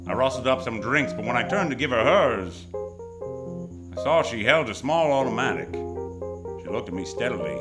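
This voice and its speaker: A man's voice: fairly low pitch, lightly acted. A man acting a wry, hardboiled private detective who narrates his case in old-time radio noir style on stage. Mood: smooth, insistent. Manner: wisecracking, tough, world-weary slang. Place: stage of live radio theatre.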